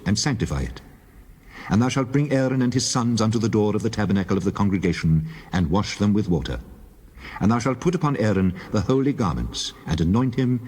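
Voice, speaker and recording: An actor voicing God, a deep divine male voice giving solemn, measured commands in a dramatized scripture reading. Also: a little mellow, breathy edge. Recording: long hall reverb.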